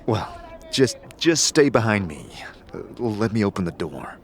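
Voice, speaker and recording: A man's voice: lowish voice, big pitch swings, a little slow, slight background noise. A man playing an earnest, questioning wanderer in a fantasy audio drama, speaking with some hesitation. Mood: mild, friendly. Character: earnest, questioning male wanderer, friendly but frustrated.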